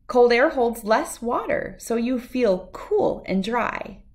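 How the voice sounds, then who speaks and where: A middle-aged woman reads a rhyming children's picture book aloud in a measured, sing-song way. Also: fairly high pitch, expressive, darkish tone.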